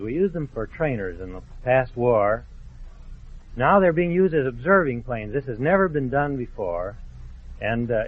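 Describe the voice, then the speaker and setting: A man speaking as an editor guest in a radio interview, explaining in halting, deliberate phrases. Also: mid-range voice. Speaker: magazine editor, studio guest. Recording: tinny, band-limited vintage radio recording.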